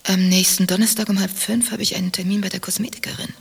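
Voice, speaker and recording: A woman's voice: medium pitch, flat delivery, fast talker, vocal fry, breathy edge. An actress playing a proud, scornful married woman having an affair in a radio play, with a cutting, controlled, theatrical manner. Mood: subdued, guarded. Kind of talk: dialogue acting in a radio play.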